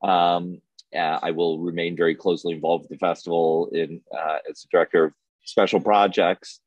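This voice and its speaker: A man with a very low voice, recorded dry. He speaks as an interview guest, laying things out in order with small hesitant restarts. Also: level tone, normal speed, no echo, muffled recording. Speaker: interview guest, festival programmer. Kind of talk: measured conversational recounting.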